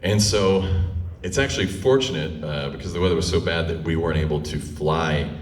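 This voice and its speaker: A man telling a personal story in a conversational, comic style, with dramatic pauses and animated retelling. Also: extremely low pitch. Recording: roomy echo, distant microphone.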